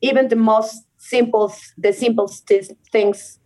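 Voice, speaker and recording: A woman's voice: fairly high pitch, steady tone, slow, darkish tone, poor audio. Young venezuelan woman guest, spanish native, casual chat in english, laughs a lot. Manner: laughs often, restarts sentences in careful non-native English. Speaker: guest, rock climber.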